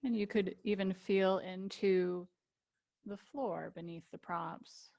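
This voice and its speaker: A woman teaching yoga nidra, guiding a relaxation meditation with gentle invitations and long pauses. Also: mid-range voice, fairly even intonation, vocal fry, highs cut off.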